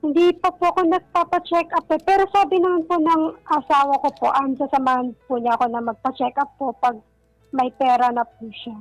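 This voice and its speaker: A woman's voice: sits high, steady tone, brisk, no room sound, low-quality recording. A woman from the Philippines calling in for advice, answering casually in a polite, deferential and hesitant manner. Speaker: caller seeking advice. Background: faint music.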